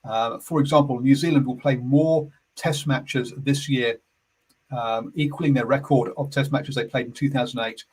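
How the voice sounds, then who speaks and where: An English man hosting a casual sports chat on a live stream, calm and easygoing, halting with many fillers and self-corrections. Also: medium pitch, breathy edge, rough recording. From England. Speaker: sports radio host.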